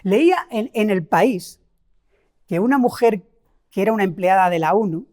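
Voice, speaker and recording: A woman's voice: mid-range voice, animated, moderate pace, warm tone. A middle-aged woman from Spain with a Spain Spanish accent, preaching a sermon, asking the congregation rhetorical questions and stressing key words.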